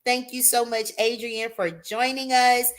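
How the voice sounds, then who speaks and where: A woman hosts a live talk show. She speaks slowly and pumps up the viewers with "y'all" and "queen". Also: a little high, level tone. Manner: hypes the audience with "y'all" and "queen". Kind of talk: upbeat live show hosting.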